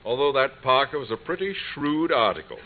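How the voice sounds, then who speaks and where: Blustering husband, tinny old radio. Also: male voice, mid-range voice, very animated, fairly quick. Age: middle-aged. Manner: hesitant, blustering, self-important. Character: proud, stubborn bumbling middle-aged husband and amateur gardener. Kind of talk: 1950s radio sitcom acting. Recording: tinny vintage radio broadcast recording.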